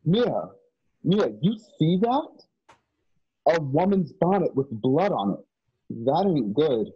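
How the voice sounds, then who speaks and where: An actor playing a plainspoken, world-weary cowboy companion in a Western radio drama, with folksy rustic speech. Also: male voice, a little high, big pitch swings, slow, breathy edge, dull audio, full character voice. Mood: uneasy.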